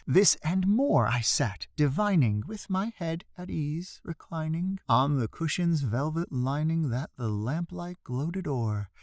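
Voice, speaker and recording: A man's voice: medium pitch, dry recording. Theatrical man reciting a classic poem, close and dry. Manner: theatrical, expressive, with drawn-out emphatic phrasing. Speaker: poetry reader.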